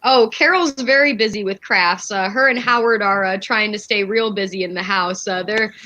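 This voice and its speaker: A woman's voice: medium pitch, moderate pace, sharp tone. A woman guest performer chatting casually in a comic interview, playful and tongue-in-cheek.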